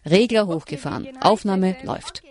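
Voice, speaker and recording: A woman narrating a radio feature in a reflective, questioning, measured style. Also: mid-range voice, lively intonation, a little mellow. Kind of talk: scripted documentary narration.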